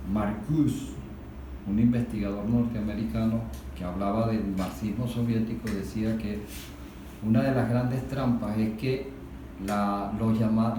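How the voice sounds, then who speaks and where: A Venezuelan man in a political discussion, explaining things didactically and deliberately, with emphatic points and rhetorical questions. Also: lowish voice, warm tone. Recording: very echoey room, distant mic, faint noise.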